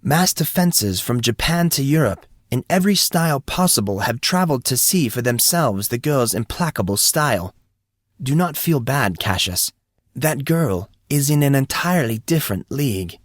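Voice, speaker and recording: A man's voice: medium pitch, animated, normal speed, no room sound, clean studio sound, voice pushed up, natural voice. A man voicing an amused, courteous school employee who speaks formally and precisely, patiently explaining. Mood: calm, amused.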